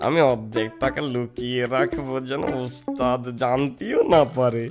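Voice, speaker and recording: Guy doing a wily cartoon village thief, boastful, slow creaky drawl, music behind. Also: medium pitch, natural voice. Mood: sly, boastful. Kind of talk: comic Bengali cartoon dubbing.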